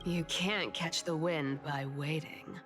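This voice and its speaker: A woman's voice: low voice, steady tone, takes it slowly, over music. A woman playing a playful, mischievous young trickster demigod in a video game, with a teasing, breezy, theatrical delivery. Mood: teasing advice.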